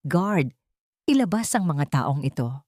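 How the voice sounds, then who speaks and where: Woman voicing a haughty old matriarch, low-pitched. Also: expressive, a little slow, mellow, no room sound, studio quality. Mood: indignant. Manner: imperious, commanding. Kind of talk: acted story dialogue.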